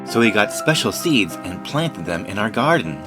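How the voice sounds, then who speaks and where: Male narrator for a kids' puppet show, animated intonation, friendly explainer, music under him. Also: fairly low pitch, moderate pace. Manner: friendly explaining, asks a child questions.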